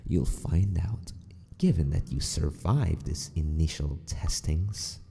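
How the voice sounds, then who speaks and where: Guy doing a creepy possessive mad scientist asmr, slow gravelly whispery voice, swoops around a lot, teasing fake-polite menace. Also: rumbling low, animated, mellow, exaggerated voice. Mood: gloating, teasing. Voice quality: breathy, husky near-whisper. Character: sadistic yandere mad scientist, male, gloating and possessive. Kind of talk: ASMR roleplay.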